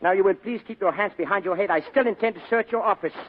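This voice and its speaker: An actor playing a polite, fussy, sinister foreign crook in a 1940s radio drama, with formal, precise phrasing and hesitant pauses. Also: male voice, sits high. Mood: menacing politeness. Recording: muffled vintage radio drama recording, phone-like band.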